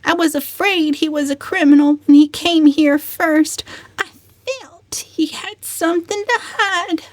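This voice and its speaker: A woman's voice: high-pitched, a little slow, dry recording, pitched above the performer's own voice. A woman voicing a timid, suspicious female cousin in a storybook, solemn and shivery. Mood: fearful, solemn.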